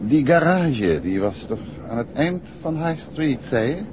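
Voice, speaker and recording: An actor playing a courteous, inquisitive gentleman detective in an old radio drama, speaking politely and probingly. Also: on the high side, very animated, measured pace, noisy background. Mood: brisk, amiable. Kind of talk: 1960s Dutch radio drama acting. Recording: muffled old radio recording.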